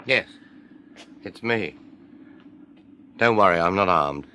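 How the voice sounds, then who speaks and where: An actor playing a chastened, practical British survivor in a radio drama, frank and reflective. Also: male voice, low-pitched, expressive, slow, pushed and bright, a little hiss or hum, full character voice. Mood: rueful.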